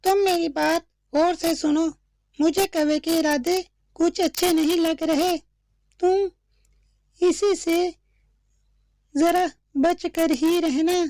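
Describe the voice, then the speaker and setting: Woman playing a mother bird, cartoon story, very high pitch, even intonation, watchful, caring. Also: slow, pauses often, dry recording, studio quality, full character voice. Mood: worried, protective. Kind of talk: slow cartoon story dialogue.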